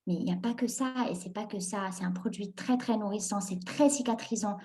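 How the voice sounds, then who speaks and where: A young woman, born and raised in Morocco, answering interview questions in an animated way, laughing often and restarting her sentences with many fillers. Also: medium pitch, fairly quick, slight background noise. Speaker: guest entrepreneur.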